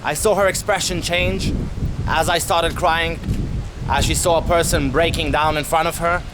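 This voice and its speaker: A young man telling a travel story to camera as a vlogger, candid and animated, swearing casually, outdoors in a city street. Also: fairly high pitch, moderate pace, background noise. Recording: music playing under the voice.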